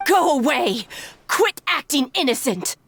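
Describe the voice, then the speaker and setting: A woman's voice: high-pitched, big pitch swings, measured pace, fairly bright tone, exaggerated voice. A woman playing a hostile, suspicious townswoman in a video game, sneering and accusatory.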